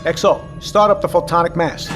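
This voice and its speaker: A man playing a cautious, commanding submarine captain who gives crisp orders in an audio drama. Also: fairly high pitch, steady tone, fairly quick, lightly acted. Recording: music clearly under the voice.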